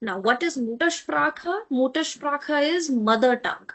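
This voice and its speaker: A woman whose first language is Tamil teaches a beginner language class at an unhurried pace. Her voice sits a little high, and she repeats each word slowly before checking that her students are following along. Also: expressive, a bit bright. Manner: patient, repeats words slowly, checks in with "okay?". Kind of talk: online language lesson.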